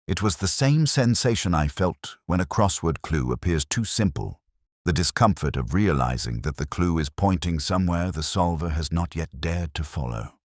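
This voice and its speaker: A man with an extremely deep voice narrates a literary mystery in the first person. His delivery is measured and reflective, yet the pitch moves expressively across phrases. The sound is dry, with no room on it. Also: dry recording.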